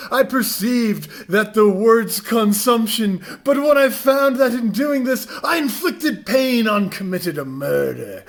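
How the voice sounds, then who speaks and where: A man giving a mock-dramatic reading, with overwrought theatrical delivery that swings between hushed and loud. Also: on the high side, creaky.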